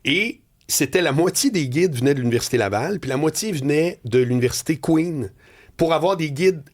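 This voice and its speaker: A middle-aged man with a Quebec French accent, telling animated stories on a radio talk show and often laughing. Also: a little fast. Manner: storytelling, laughing, swinging pitch. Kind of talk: animated editorial chat. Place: radio studio.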